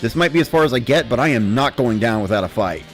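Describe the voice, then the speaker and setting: A man with a mid-pitched voice narrates in the first person. His delivery is expressive and dramatic, with lively swings in pitch. Soft music runs behind him. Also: quick pace. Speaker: narrator of a dramatic reading series.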